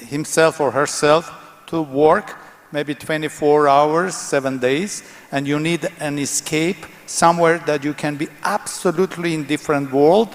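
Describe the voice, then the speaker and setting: A man on a conference panel speaks with emphatic enthusiasm in a slightly high voice. The long reverb of the conference hall surrounds him.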